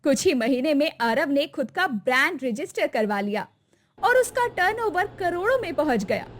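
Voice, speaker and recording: Woman narrator, scripted dramatic storytelling, high pitch, lively swooping intonation. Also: moderate pace, slight background noise.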